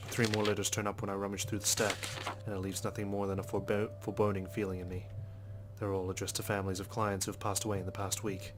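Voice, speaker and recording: An actor playing a dogged, skeptical male police detective in a visual novel game, speaking in a measured, serious, matter-of-fact way over music. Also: on the low side, flat delivery, noisy background, close to an everyday voice. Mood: brooding, resolute. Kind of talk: scripted visual novel narration and dialogue. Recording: music behind the voice.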